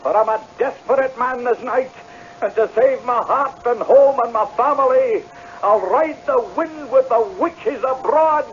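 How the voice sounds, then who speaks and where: An actor playing a desperate tenant piper, by turns humble and defiant, in an old-time radio drama. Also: male voice, very high-pitched, measured pace. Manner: deferential stammering that turns to impassioned declaiming. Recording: dull, noisy vintage radio recording.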